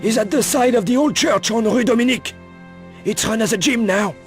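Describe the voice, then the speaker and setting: An actor playing a shifty, grudging old underworld informant in a video game, wary and always bargaining. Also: male voice, very high voice, brisk, soft background music. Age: older. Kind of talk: video game cutscene acting.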